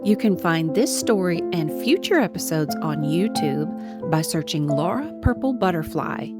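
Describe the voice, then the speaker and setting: A woman's voice: lowish voice, animated, measured pace. Woman reading outro over piano music. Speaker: podcast announcer. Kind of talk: scripted podcast outro. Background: prominent piano music.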